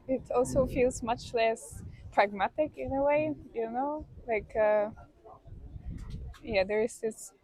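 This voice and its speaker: A woman speaks at a middling pitch. She is in casual, reflective conversation and feels her way through each thought, hesitating as she searches for words. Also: darkish tone, low-quality recording. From Poland. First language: Polish. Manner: hesitant, thinking aloud.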